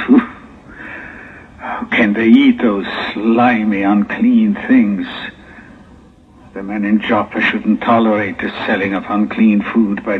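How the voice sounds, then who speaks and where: An actor playing a disdainful, secretive Hebrew prophet who mutters indignantly in an old audio drama. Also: male voice, fairly low pitch. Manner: muttering indignantly to himself, curt with others. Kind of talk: 1960s audio drama acting. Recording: muffled, noisy old phone-like audio-drama tape.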